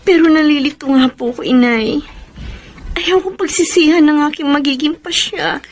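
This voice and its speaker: A woman's voice: high-pitched, normal speed, lightly acted. An actress playing a torn, principled young Filipino woman in love, with emotional, pleading delivery in a radio drama. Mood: distressed.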